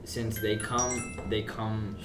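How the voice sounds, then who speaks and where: A man's voice: on the low side, little pitch movement, takes it slowly, music in the background. A nineteen-year-old man chatting casually as a podcast guest, with slangy speech peppered with "bro". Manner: slangy, says bro often. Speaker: podcast guest, college soccer player. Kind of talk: casual chat. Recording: roomy, distant mic, loud background noise.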